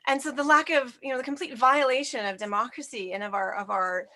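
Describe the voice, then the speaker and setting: A woman's voice: high voice, steady tone, a little fast, sharp tone. A woman, hosting a conversational interview, speaking in an earnest, emphatic way. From Canada. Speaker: host.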